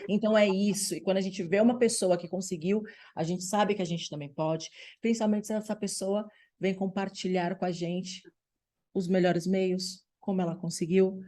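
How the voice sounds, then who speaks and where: A woman's voice: mid-range voice, steady tone, normal speed. A Brazilian woman hosting an online women's group meeting over a video call, upbeat and encouraging, often checking in with the audience. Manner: upbeat, warm-sounding through her words, drops in frequent "tá bom?" check-ins. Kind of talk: lively welcoming online meeting talk.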